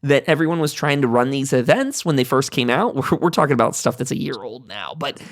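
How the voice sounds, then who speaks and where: A man's voice: mid-range voice, animated, brisk, gravelly, dry recording, studio quality. A man hosting a casual roundtable, reading notes aloud and commenting in a chatty, digressive way. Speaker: podcast host.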